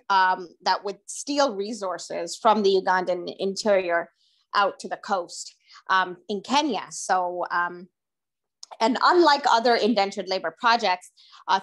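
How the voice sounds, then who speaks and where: A middle-aged woman speaks over a video call from her kitchen, her voice sitting in an ordinary middle register. She talks loosely and conversationally, piling in fillers and restarting sentences as she explains her project. The sound comes from a camera mic a short distance away in a home kitchen. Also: mid-range voice. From Tanzania. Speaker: oral history researcher presenting her project. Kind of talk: informal presentation to a group.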